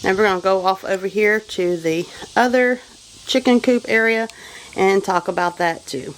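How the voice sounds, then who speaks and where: A woman with a Southern American accent talks plainly through practical farm tips in a middle-pitched voice. She walks around her homestead outdoors, recorded on a handheld camera. Clear noise sits behind her voice. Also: normal speed, low-quality recording. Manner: plain, instructive, conversational. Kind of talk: walking tour with practical advice.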